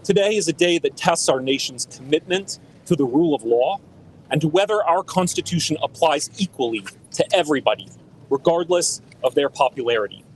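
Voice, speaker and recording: A man giving a formal, measured press statement outdoors. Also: fairly high pitch, animated, a little hiss or hum, poor audio. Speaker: lawyer or spokesman at a press conference. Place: outdoors on a city street.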